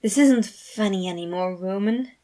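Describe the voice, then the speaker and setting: A woman's voice: medium pitch, moderate pace, warm tone, natural voice. Woman reading as a stern, sardonic man. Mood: scolding, worried. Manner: reproving, scoffing. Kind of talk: fan fiction character reading.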